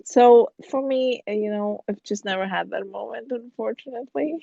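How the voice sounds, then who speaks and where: A woman's voice: a little high, moderate pace, vocal fry, mellow, no echo. A young woman from India, a podcast guest, talking casually with lots of "like" and "um" and laughing easily. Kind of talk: casual chat. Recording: dull lo-fi dry sound.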